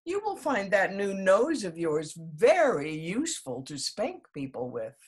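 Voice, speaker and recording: Woman as wise storybook snake, instructive, teasing, measured, husky edge. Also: mid-range voice, wildly swooping pitch, full character voice. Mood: advising. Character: wise, teasing bicolored python rock snake. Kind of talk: children's storybook read aloud.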